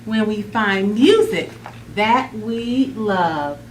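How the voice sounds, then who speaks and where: A woman's voice: mid-range voice, animated, extremely slow, echoey room, distant mic, a little hiss or hum, pitched below the performer's own voice. A woman voicing a clumsy, tender-hearted male giraffe in a children's storybook, earnest and emotional. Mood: happy, proud.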